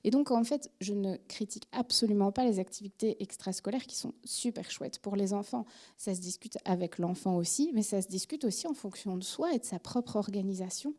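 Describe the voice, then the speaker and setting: Woman in her forties from France, French accent with a Belgian tinge, warm mellow tone, chatty psychologist addressing a room. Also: mid-range voice, brisk. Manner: chatty and animated, with many filler words and restarts. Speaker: psychologist giving a public talk on parenting. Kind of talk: informal lecture to an audience.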